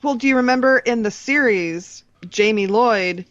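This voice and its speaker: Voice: medium pitch, normal speed, fairly bright tone, no room sound. A laughing podcast co-host with a mid-range voice, agreeable and easygoing in casual chat. The recording is dry and close, with a dull, slightly muffled top end.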